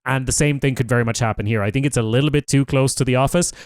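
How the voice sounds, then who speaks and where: A man with a smooth, clear voice talks through a TV review in a relaxed, chatty way, pausing to think aloud with fillers. The sound is close and dry, with no room behind it. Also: medium pitch, no room sound. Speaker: podcast co-host. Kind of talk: casual TV review chat.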